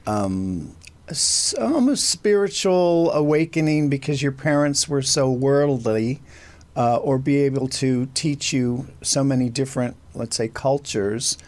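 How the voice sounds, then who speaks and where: A man's voice: mid-range voice, animated, a little slow, a little mellow, dry recording. A man hosting an interview show, introducing his guest and asking long, meandering questions. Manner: friendly, meandering questions with fillers. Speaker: talk show host.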